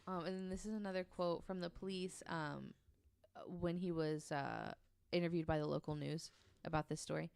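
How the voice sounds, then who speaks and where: A woman's voice: on the low side, steady tone, normal speed, vocal fry, darkish tone, clean tone, dry recording. A woman telling a true story casually on a podcast, reading quotes aloud in an informal, hesitant way.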